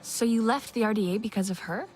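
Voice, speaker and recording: An actress playing a young Na'vi woman in a video game, a wary and curious investigator with a measured, questioning manner. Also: medium pitch, a little mellow, faint music behind, lightly acted. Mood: friendly. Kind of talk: video game dialogue acting.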